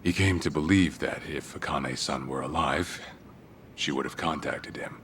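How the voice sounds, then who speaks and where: Very deep, grim gangster. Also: male voice, a little hiss or hum. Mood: somber. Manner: measured, solemn storytelling. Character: grim middle-aged gangster recounting his boss's past. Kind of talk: dramatic video game cutscene acting. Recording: game audio with loud background music. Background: loud cinematic music.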